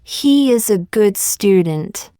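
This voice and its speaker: A woman reading short practice sentences aloud for learners, saying each one twice, slowly and carefully. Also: mid-range voice, lively intonation, warm tone, dry recording, clean studio sound. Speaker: English teacher.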